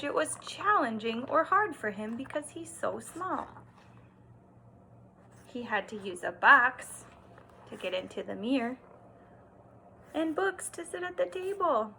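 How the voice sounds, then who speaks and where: A woman teacher doing an expressive read-aloud for young children, stressing words and pausing to explain and ask simple questions. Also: a little high, a little hiss or hum. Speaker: kindergarten teacher.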